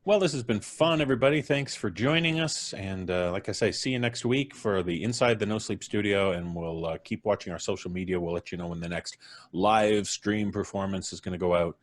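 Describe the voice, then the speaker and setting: Male host, lowish voice, informal live stream chat. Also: brisk.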